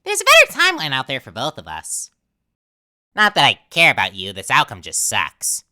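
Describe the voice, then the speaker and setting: A woman's voice: a bass voice, wildly swooping pitch, moderate pace, sharp tone, full character voice. A woman voicing a manic, childish talking flower villain from a video game, theatrical and mocking, swinging between cutesy and menacing. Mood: smug, resigned. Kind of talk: dramatic game villain monologue voice acting.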